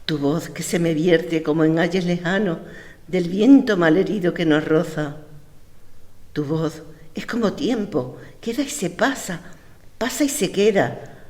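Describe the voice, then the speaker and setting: A woman with an Andalusian Spanish accent reciting poems in a measured, deliberate way. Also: on the low side, animated, darkish tone. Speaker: poetry reciter. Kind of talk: poetry recitation. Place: lecture hall. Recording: echoey hall.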